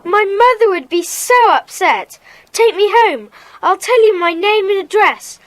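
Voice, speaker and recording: A woman's voice: very high voice, lively intonation, measured pace, dry recording, low-quality recording. A woman playing a young schoolboy in a children's audio drama, protesting in a nervous, plaintive way. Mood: frightened, pleading.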